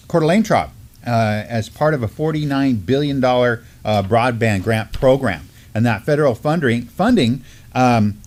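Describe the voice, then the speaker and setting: Middle-aged guy at a studio desk reading news stories, lots of uhs and restarts. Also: mid-range voice. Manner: halting, many fillers while reading. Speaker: co-host. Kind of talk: news discussion, reading articles aloud. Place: studio with mottled backdrop.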